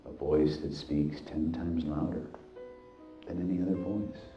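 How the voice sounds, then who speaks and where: A man leading a guided hypnosis, speaking deliberately in short, halting phrases with hypnotic repetition. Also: very deep voice, steady tone. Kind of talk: guided hypnosis, slow meditative narration. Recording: echoey, dull sound with music behind. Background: background music.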